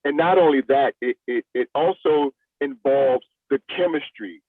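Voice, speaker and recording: A man being interviewed as a play director, answering in a halting way, repeating words as he searches for the next one. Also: mid-range voice. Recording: roomy, thin radio-like lo-fi sound.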